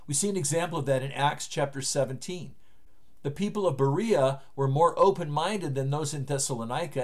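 Earnest middle-aged man preaching from his study. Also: fairly high pitch. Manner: earnest, emphatic preaching to camera. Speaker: pastor. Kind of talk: recorded online sermon. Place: home study lined with bookshelves, indoors. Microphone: webcam framing, seated at desk.

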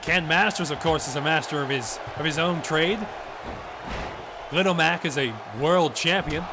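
Profane, excitable wrestling commentator. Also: male voice, fairly high pitch, moderate pace. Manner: excited, profane, drops in "mate" often. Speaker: play-by-play commentator. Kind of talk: wrestling commentary on a video game match. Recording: noisy background.

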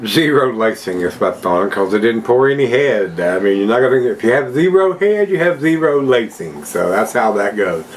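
A man's voice: fairly low pitch, sing-song, normal speed, few pauses, a little hiss or hum. An older man at home casually reviewing a beer, chatting to his viewers in a folksy way. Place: home room with a desk and plants, indoors.

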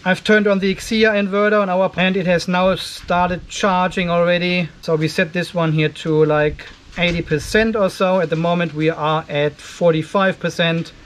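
A man's voice: high voice, steady tone, normal speed, few pauses. A man in his fifties giving a casual hands-on tech demonstration, conversational and thinking aloud as he works. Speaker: host of an off-grid solar video channel. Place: indoor garage workshop.

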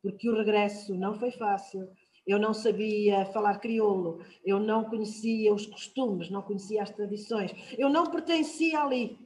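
An older woman from São Tomé and Príncipe with a European Portuguese accent, speaking on a video call panel, reflective and measured with long "ah" pauses. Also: mid-range voice, darkish tone, low-quality recording. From São Tomé and Príncipe, raised in Portugal. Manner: reflective, measured, with drawn-out "ah" fillers. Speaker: guest writer. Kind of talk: panel talk, personal account. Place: home room.

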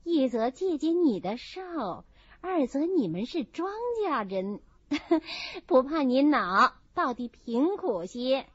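A woman's voice: high voice, big pitch swings, slow pace, husky, no echo, exaggerated voice. A woman voicing a shrewd, gracious lady of a wealthy household in an audiobook, chatty and in command. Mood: chatty, frank. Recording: dull, muffled audio.

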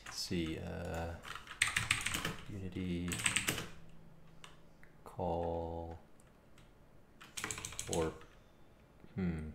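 Low, gravelly man thinking aloud. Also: little pitch movement, extremely slow, pauses often, very noisy. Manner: muttering to himself in fragments. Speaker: game developer live streamer. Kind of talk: casual live-coding stream, thinking aloud. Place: small home room, indoors. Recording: echoey room with loud keyboard clicking. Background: typing and mouse clicks.

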